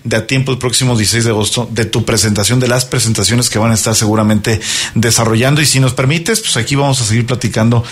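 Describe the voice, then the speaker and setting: A man's voice: mid-range voice, fairly even intonation, fast talker, breathy edge. A man with a Mexican accent hosting a radio interview, with friendly, playful banter. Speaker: radio host.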